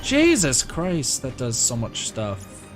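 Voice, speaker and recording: Animated man streaming over loud game music. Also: medium pitch, slow. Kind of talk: casual live gameplay commentary.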